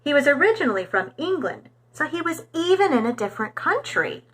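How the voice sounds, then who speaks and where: A woman reading a storybook aloud for young children in an expressive, animated storytelling manner. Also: sits high, a little fast.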